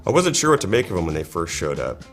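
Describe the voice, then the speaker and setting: A man acting as a skeptical company executive in a mock ad testimonial, measured and wry, with music behind him. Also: low-pitched, wildly swooping pitch. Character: skeptical male company executive, middle-aged. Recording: music bed under the voice.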